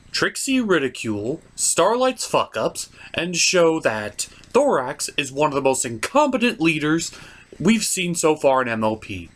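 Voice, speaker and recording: A man's voice: on the high side, fairly bright tone. A man narrating a scripted comedic review as host, in a sarcastic, theatrical way.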